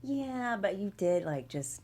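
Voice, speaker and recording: A woman panelist in casual discussion, talking informally and haltingly with repeated words. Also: medium pitch, sing-song, a little mellow.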